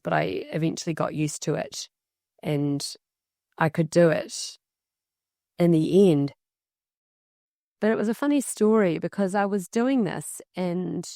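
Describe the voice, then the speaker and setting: A woman interpreting a signer's casual story aloud, in halting, conversational phrases. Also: fairly low pitch, a little mellow, no echo, studio quality. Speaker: sign language interpreter voicing a signer.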